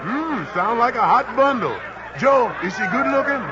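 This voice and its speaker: An actor playing a brash, flirtatious army sergeant from New York in 1940s radio style. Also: male voice, extremely high pitch, animated, moderate pace, noisy background. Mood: teasing, flirtatious. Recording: dull old radio recording, reverberant stage.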